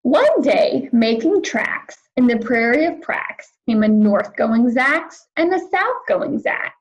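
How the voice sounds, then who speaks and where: A woman's voice: fairly high pitch, sing-song, slow pace, low-quality recording. A woman schoolteacher reading aloud and talking to young children in simple, emphatic phrases. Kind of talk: children's read-aloud and lesson.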